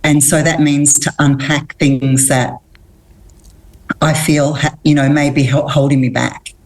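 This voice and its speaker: A middle-aged woman from Australia with a low voice speaks as a guest on an interview. She answers in an earnest, reflective way, pausing and restarting as she thinks. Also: flat delivery, a little mellow, rough recording. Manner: thoughtful, earnest, pauses and restarts. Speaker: guest, women's coach.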